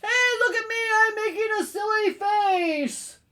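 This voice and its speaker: A woman voicing a friendly male chameleon in a children's storybook, calling out eagerly to be noticed with drawn-out words. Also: very high voice, very animated, a bit bright, pitched above the performer's own voice, full character voice. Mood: eager for attention.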